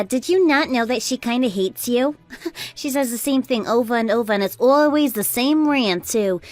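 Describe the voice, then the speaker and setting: Woman acting a high-voiced gossipy in-law. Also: dry recording. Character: gossipy, weary in-law relaying complaints. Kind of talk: conversational drama dialogue.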